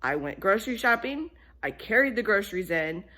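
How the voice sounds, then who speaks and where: A woman's voice: mid-range voice, big pitch swings, fairly quick, bright, forceful tone, voice pushed up. A woman playing a hardworking, exasperated little hen in a children's story, speaking emphatically and bossily. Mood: indignant.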